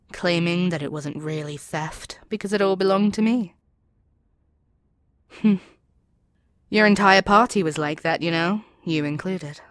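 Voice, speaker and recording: A low, dry-recorded demon queen, acted out by a woman for an ASMR audio roleplay. She is imperious and seductive, coaxing her captive with arrogant confidence and a readiness to sink into whispers. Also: no room sound, lightly acted. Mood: smug gloating.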